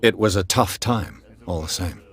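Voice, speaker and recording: Low-voiced, probing detective. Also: male voice, moderate pace, fairly bright tone. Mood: measured, curious. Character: cool, probing male private detective. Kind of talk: video game voice acting. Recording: faint ambient noise behind.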